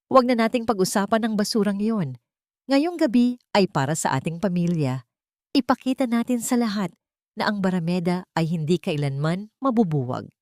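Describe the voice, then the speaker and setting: A woman's voice: medium pitch, lively intonation, normal speed, darkish tone, dry recording, clean studio sound, voice pushed up, close to an everyday voice. A woman voicing an arrogant, scornful wealthy old matriarch in a spoken audio drama, delivering proud commands. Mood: haughty.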